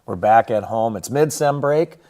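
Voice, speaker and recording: An American man, a college football coach, talking through a game preview in a halting, conversational way. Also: medium pitch, expressive, mellow.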